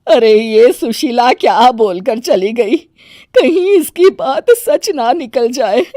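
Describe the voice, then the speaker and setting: A woman's voice: fairly high pitch, expressive, slow pace, dark tone, no echo, studio quality. A woman playing a middle-aged mother-in-law in a moral story, doting yet easily offended, with an emphatic, exclaiming manner. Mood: anxious. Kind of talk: dramatised Hindi moral story.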